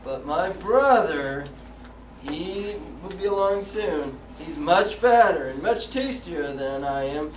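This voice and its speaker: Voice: mid-range voice, expressive, slow, slight background noise. A child performer playing a hungry, reckless middle billy goat, expressively, in a school readers theater. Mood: cheeky, persuading. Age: child, about eight or nine. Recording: echoey room, far from mic.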